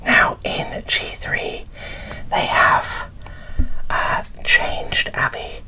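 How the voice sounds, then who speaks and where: A woman whispering in a breathy, barely voiced ASMR style, presenting dolls with gentle, deliberate phrasing into a close microphone. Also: rough recording. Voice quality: breathy whisper with little voicing. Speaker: doll collector presenting an unboxing.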